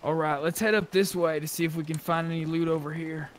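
A man with a somewhat high voice chats in character while playing an online game. He speaks over game voice chat with light background noise. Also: steady tone, fast. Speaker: player in an online zombie roleplay game.

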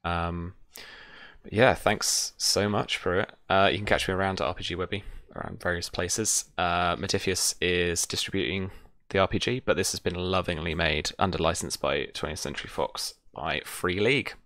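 A man's voice: deep voice, fairly even intonation, normal speed, fairly bright tone, dry recording. A man in his thirties chatting casually and enthusiastically as a game host, swearing freely, close to the mic on a video call. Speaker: game master and channel host. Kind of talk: casual post-game chat. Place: indoor room at home, on a video call.

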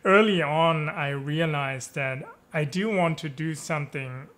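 An easygoing man from Germany, German his first language, chats over a headset from home on a video call. His voice sits a little high for a man, and he laughs and says "right?" often. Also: unhurried, a bit bright. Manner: easygoing, chuckles often, many fillers and tag questions. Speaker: guest. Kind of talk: casual interview chat.

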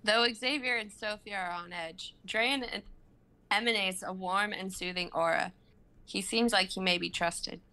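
A woman's voice: mid-range voice, steady tone, measured pace, bright, forceful tone, smooth. A woman in her twenties reading stage directions aloud as narrator in a dramatic script reading. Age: 20s. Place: home room on a group video call.

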